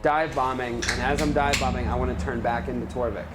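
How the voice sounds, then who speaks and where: A man's voice: medium pitch, fairly even intonation, brisk, over music. A man chatting casually as a player in a tabletop game. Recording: noisy room recording. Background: clearly audible background noise.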